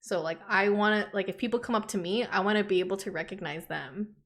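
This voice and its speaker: A woman's voice: mid-range voice, fast talker. A young adult woman chatting informally as a podcast co-host. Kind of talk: casual chat.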